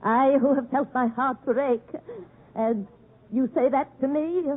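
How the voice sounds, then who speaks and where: A heartbroken, imperious old lady in a vintage radio play. Her voice is high and theatrical, full of bitterness, on a narrow, muffled broadcast recording. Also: measured pace. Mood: bitter, imperious. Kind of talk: 1950s radio drama acting.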